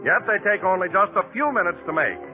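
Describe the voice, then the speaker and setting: High-voiced American radio pitchman. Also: sing-song, quick pace, faint music behind. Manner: emphatic, upbeat salesmanship. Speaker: radio announcer. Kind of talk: 1940s radio advertisement read. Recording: muffled 1940s radio recording.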